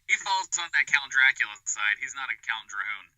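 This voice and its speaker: A man's voice: medium pitch, very animated, brisk, sharp tone, no echo, rough recording. A man chatting casually as a guest podcast creator in an interview. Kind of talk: casual chat.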